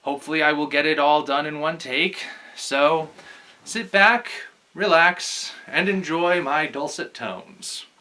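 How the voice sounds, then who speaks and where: A man hosting and reading aloud in an expressive, theatrical, articulate way, as if to his viewers. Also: fairly high pitch, a little slow. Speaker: vlog host reading aloud from a novel. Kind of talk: book read-aloud with casual address to viewers.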